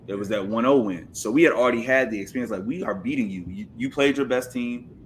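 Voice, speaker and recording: A man's voice: medium pitch, animated, quick pace, a little hiss or hum, poor audio. A man recounting matches on a casual sports podcast in a colloquial, joking way. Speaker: podcast guest, football fan.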